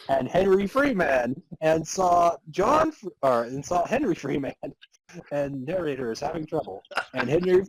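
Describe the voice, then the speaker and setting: A man's voice: on the high side, slightly rough, dry recording, low-quality recording. A man narrating a comic story in a theatrical way, prone to breaking into laughter. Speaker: narrator and podcast host. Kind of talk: dramatic reading of comic fan fiction.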